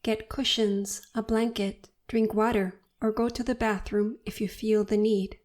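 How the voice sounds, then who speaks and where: A woman narrating a guided meditation in a soothing, unhurried and deliberate manner. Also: medium pitch, level tone, a little mellow.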